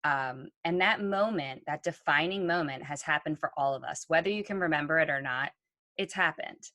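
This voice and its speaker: A woman's voice: low voice, moderate pace, bright, forceful tone, no room sound. A woman co-hosting a podcast, talking earnestly and reflectively with frequent ums. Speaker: podcast co-host. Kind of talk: conversational podcast talk.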